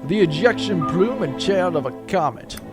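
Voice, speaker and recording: Pompous space commandant, male actor, comedy audio drama: high voice, self-important, ornate delivery, music bed. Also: lively intonation, normal speed. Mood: dreamy, pompous.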